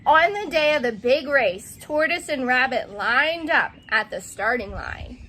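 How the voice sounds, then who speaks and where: Woman teacher, high voice, slow storybook read-aloud delivery, slightly noisy recording. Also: animated, bright, forceful tone. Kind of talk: animated children's story read-aloud with banter.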